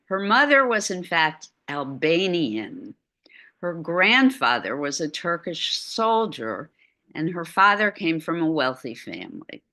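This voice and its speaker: Slow, bright-voiced woman lecturing online. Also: deep voice, sing-song. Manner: slow, chatty asides while lecturing. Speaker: art lecturer. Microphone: video call.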